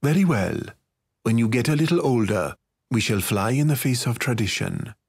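A man's voice: medium pitch, very animated, moderate pace, slightly rough, no echo, clean studio sound. A man voicing a weary, affectionate father in a fantasy storybook. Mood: warm, resolved. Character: weary, warm, bearded father of a young girl.

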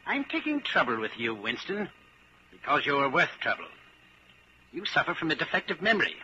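A cold inquisitor with a very bright, cutting voice, fairly high and lively in pitch. He is precise and condescending, heard on a dull, hissy old radio drama recording. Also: full character voice. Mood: controlled menace. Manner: measured, precise, condescending menace. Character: calm, coldly superior male party inquisitor. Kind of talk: 1940s radio acting.

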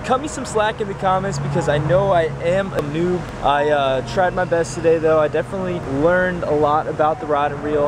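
Young man vlogging outdoors while fishing. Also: on the high side. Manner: chatty and excitable, talks to the camera and to fish. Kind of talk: casual first-person commentary while fishing. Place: outdoors by a pond and dam. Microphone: head-mounted action camera. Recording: outdoor ambience, wind and water noise.

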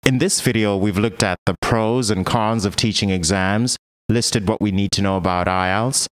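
Low-pitched male explainer narrator. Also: moderate pace, smooth. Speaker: voice-over narrator. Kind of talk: scripted instructional narration.

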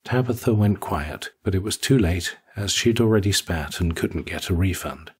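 A man reading scripted audiobook narration in a raspy voice. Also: low-pitched, normal speed.

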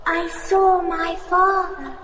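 A woman's voice: very high-pitched, steady tone, a bit bright, faint music behind, exaggerated voice. A woman playing a serene, detached, eerie young girl in a gothic horror radio drama. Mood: eerie calm. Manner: slow, deliberate, detached. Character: eerie, serene young girl talking of her dead father.

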